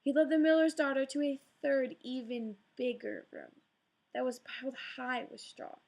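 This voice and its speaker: A woman reads a fairy tale aloud as a storyteller, shaping each line with gentle drama. Her voice sits a touch higher than most women's. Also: moderate pace, gravelly. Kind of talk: read-aloud storybook narration.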